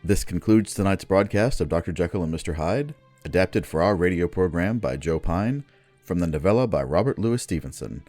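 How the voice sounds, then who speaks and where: Deep-voiced lively male announcer. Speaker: radio drama announcer. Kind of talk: scripted broadcast announcement. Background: faint music.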